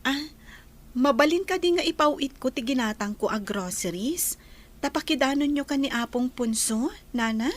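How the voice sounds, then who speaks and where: A dutiful young working woman, played by a woman speaks in a story drama. Her voice is high, and she is earnest about providing for her family. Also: normal speed.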